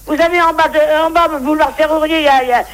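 Exasperated woman with a very high voice. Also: fairly even intonation, very fast. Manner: exasperated, scolding. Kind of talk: complaining in a phone conversation.

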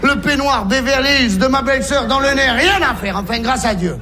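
A man's voice: very high voice, lively intonation, crowd noise. A stand-up comedian playing a sentimental older music-hall producer who drags out his words in a sing-song, heard on a live stage recording with faint noise. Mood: mournful. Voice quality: gravelly, sing-song, drawn-out repetitions. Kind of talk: stand-up sketch before a live audience.

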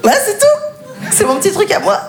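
A woman's voice: extremely high pitch, sing-song, brisk, gravelly. A woman doing frank, self-deprecating stand-up in a conversational way to a live audience on a comedy stage. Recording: echoey, noisy live stage recording.

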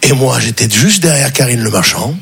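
A man's voice: mid-range voice, animated, moderate pace, creaky, fairly bright tone, husky. A male stand-up comedian telling stories in a casual, slangy, self-mocking way, in a noisy live stage recording.

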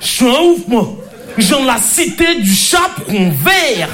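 A man's voice: very high voice, sing-song, a little slow, a bit bright, soft background music, voice pushed up, full character voice. A man doing a swaggering young street thug. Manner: swaggering, threatening. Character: tough young male street thug, boastful and menacing. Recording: live club with audience behind. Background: crowd laughter.

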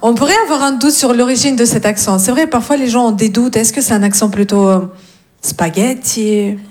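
A woman comedian with a Russian accent works a live crowd in a fairly high voice. She teases her listeners cheekily and swears freely as she delivers her stand-up monologue. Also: normal speed, darkish tone. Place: live comedy stage before an audience.

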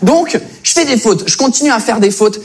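A British man whose first language is English, doing stand-up comedy with animated storytelling in fluent French. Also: very high voice, normal speed. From Britain. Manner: animated storytelling, acting out other people.

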